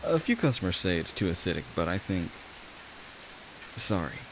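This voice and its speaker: A man with a low voice plays a friendly barista in an ASMR roleplay. His delivery is chatty and reassuring, with lively swings in pitch. Rain and hiss sit clearly behind him. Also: normal speed, low-quality recording. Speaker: voice actor in an audio roleplay.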